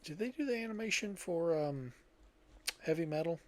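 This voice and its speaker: A man's voice: a little high, expressive, moderate pace, a little mellow. An older man co-hosting a podcast in casual, conversational chat. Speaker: podcast co-host.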